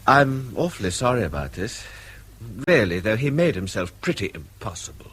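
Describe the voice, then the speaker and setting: An actor playing an apologetic middle-aged uncle in a radio drama, with an English accent and a hesitant, uneasy manner. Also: male voice, lowish voice, big pitch swings, normal speed, a bit bright, slight background noise. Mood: apologetic, embarrassed.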